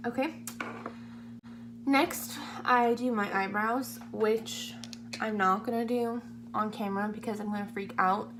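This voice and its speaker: A 21-year-old woman with a slightly hoarse voice, chatting casually through a makeup tutorial in a rambling, self-deprecating way. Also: mid-range voice, steady tone, unhurried. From California, United States. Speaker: beauty vlogger. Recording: amateur home video, clear humming background noise.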